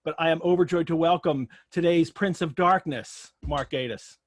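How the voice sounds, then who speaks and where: An American man with a slightly high voice hosts a live online chat. He is effusive and jokey as he talks to his guest and audience. The sound is close and dry, with very little room in it. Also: normal speed, no room sound. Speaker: host of an online literary show. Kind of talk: live webinar interview and casual chat. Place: video call.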